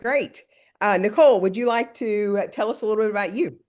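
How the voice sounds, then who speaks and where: A woman's voice: mid-range voice, a little fast, darkish tone. Stuffed-up woman hosting a call. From Oklahoma. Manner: hesitant, many ums. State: stuffed-up nose. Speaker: call host. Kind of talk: casual video call.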